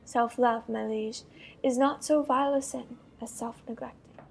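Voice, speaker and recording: A woman playing a proud, confident young French prince in measured, haughty Shakespearean radio theater. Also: on the high side, fairly even intonation, creaky, slight background noise. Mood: haughty, urging.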